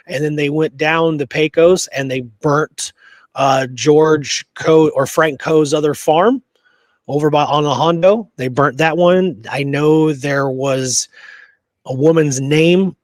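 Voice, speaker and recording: A man's voice: fairly high pitch, unhurried, no echo. A man co-hosting an informal history discussion, circling around his points as he talks them through. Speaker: podcast co-host.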